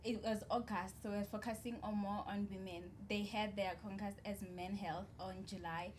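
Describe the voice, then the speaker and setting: A twenty-year-old woman, a student peer educator, talking earnestly in a casual interview and getting animated when she tells stories. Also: medium pitch, moderate pace, a little hiss or hum.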